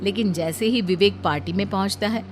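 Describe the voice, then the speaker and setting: कहानी सुनाती महिला, पीछे हल्का संगीत. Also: female voice, mid-range voice, fairly quick, over music. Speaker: story narrator. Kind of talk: scripted narration of a moral story.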